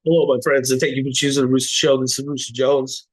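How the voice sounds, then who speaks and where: A man hosting a podcast over a video call, his voice raspy and hoarse from the flu. He keeps things friendly and jokey, stumbling through his questions. His pitch sits mid-range and the sound is very dry. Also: level tone, no room sound. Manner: friendly, joking, halting questions. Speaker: podcast host. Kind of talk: casual interview chat.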